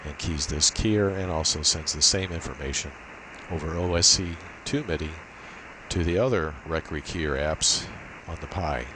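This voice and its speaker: A man giving an informal, halting technical demo, thinking aloud as he walks through his setup. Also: a bass voice. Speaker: amateur radio operator giving a tech demo. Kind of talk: informal screen demo walkthrough. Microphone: built-in laptop microphone. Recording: steady hiss from cheap laptop microphone.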